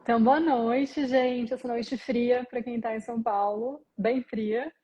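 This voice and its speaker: A woman from southern Brazil, a guest on a live stream, speaking in a relaxed, articulate way. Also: on the high side, measured pace, slightly rough, lo-fi sound. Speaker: guest, luxury marketing researcher. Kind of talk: casual live chat. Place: São Paulo.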